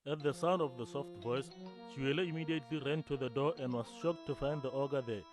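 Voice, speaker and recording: A man playing a calm grandfather storyteller. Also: mid-range voice, brisk, lightly acted. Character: grandfather telling a folk tale to listeners. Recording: music playing underneath. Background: music.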